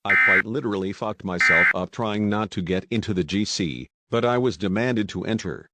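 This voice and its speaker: A man's voice: on the low side, expressive, normal speed, sharp tone. A man playing an exasperated, foul-mouthed complainer in an amateur comic skit. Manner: sweary, complaining.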